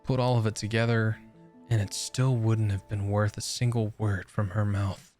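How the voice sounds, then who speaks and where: Low-voiced man playing through a game. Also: flat delivery, moderate pace, a little mellow. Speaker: gaming video host. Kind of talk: casual playthrough commentary, reading game text aloud. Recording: game music behind the voice.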